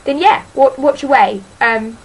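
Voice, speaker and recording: A teenage girl with a slightly high voice rambles her way through a casual book vlog. She hesitates often, leaning on ums and sort-ofs, and keeps poking fun at herself. A faint hiss sits under the recording. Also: measured pace, low-quality recording. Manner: chatty and self-deprecating. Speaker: book vlogger.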